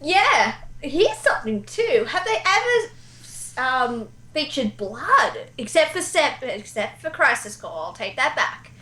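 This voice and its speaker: A woman's voice: high-pitched, sing-song, a little slow, bright, forceful tone, rough recording. A woman chatting casually about video games as a guest on a talk show. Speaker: guest, amateur voice actor.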